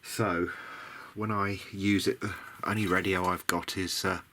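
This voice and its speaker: Male hobbyist host, lowish voice, thinking out loud in an informal, tentative way while working, some background noise. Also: little pitch movement, measured pace. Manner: musing, tentative, thinking aloud. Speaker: video diary host, electronics hobbyist. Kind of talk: casual unscripted bench commentary while working.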